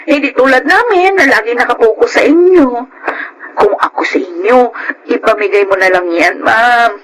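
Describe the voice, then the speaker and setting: A woman with a Filipino accent playing a sly, pushy housemaid who flatters and wheedles, in radio drama style. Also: fairly high pitch, moderate pace, close to an everyday voice, low-quality recording.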